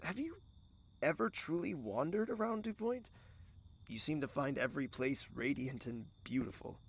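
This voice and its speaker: A man playing a courteous, regal young prince in love in an audio drama, gentle and formal, with the odd French phrase. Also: a little high, expressive, normal speed. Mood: reflective, teasing.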